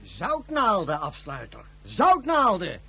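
An actor playing a crafty, daring guerrilla leader in a science fiction radio play, speaking emphatically and repeating key words. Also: male voice, high voice, wildly swooping pitch, slow, gravelly, sharp tone, slightly rough, exaggerated voice. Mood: gleeful scheming. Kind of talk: Dutch science fiction radio drama acting.

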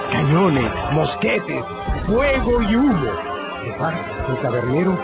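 A man delivering dramatic scripted narration for a radio novel, solemn and emphatic, with deliberate phrasing. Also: on the high side. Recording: dull lo-fi radio production, loud music.

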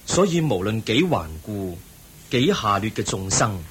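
An actor playing the Buddha, a calm and compassionate teacher who speaks gently and patiently, in a radio play. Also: male voice, lowish voice, slight background noise, poor audio. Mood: serene. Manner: gentle, patient, measured preaching. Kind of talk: Buddhist radio drama acting.

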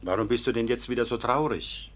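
An actor playing a kindly, dignified older court official in a radio play, patient and measured, with formal diction. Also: male voice, medium pitch, natural voice. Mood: gentle, encouraging. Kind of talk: classic German radio play acting.

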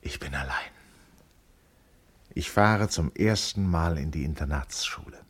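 A very low voice carries this radio drama monologue. It is an introspective schoolboy with a wry eye, who speaks in measured, literary phrases. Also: male voice. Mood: solemn, lonely.

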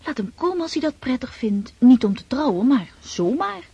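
An actress playing a dreamy, vain and impulsive teenage daughter of an aristocratic family in a radio drama. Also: female voice, high voice, sing-song, normal speed, warm tone, husky, no room sound, heavily acted. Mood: playful, dreamy. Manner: girlish, whimsical, impulsive.

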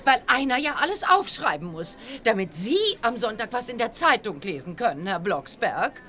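An actress playing an excitable, gushing lady newspaper reporter in a children's audio play, theatrical and effusive. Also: fairly high pitch, normal speed. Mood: excited.

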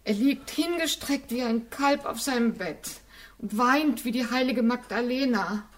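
An actress playing a warm-hearted, plain-spoken housemaid in a radio drama, lamenting with emphatic, drawn-out phrases. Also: female voice, a little high, natural voice. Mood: pitying.

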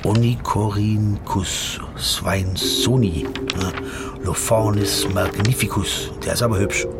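A man's voice: fairly low pitch, fairly even intonation, slow pace, over loud music. An actor playing a pedantic, weary middle-aged man who tells his story in a circumstantial, self-ironic way. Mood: bemused. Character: wordy, pedantic middle-aged male legal counsel, weary and self-doubting. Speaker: narrator and protagonist. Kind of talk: scripted literary radio drama monologue.

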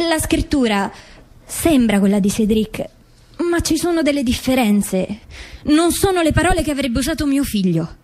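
An actress playing a mother in a documentary stage drama, methodically setting out doubts in a measured, deliberate way. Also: high-pitched, a little mellow. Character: grieving mother methodically doubting her son's farewell letter. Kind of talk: scripted theatre dialogue, documentary drama.

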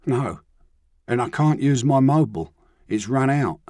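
A man's voice: medium pitch, animated, unhurried, a little mellow, no echo, studio quality, voice pushed up. A man reading an audiobook, voicing a desperate, sulky young man on the run, pleading and frightened.

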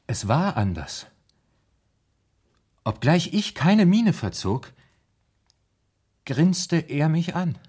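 An actor in a 1970s German radio drama playing a tormented, anxious man who haltingly confesses what haunts him, in a husky voice. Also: fairly high pitch, big pitch swings, darkish tone, exaggerated voice. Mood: horrified. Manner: halting, haunted confessional storytelling with broken phrases.

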